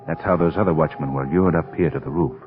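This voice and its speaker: An actor playing a bold, protective adventurer in a 1930s radio drama, terse and commanding, on a muffled old recording. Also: male voice, very deep voice, fast, music in the background. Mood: resigned, watchful. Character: bold, brusque, protective male adventurer hunting a beast.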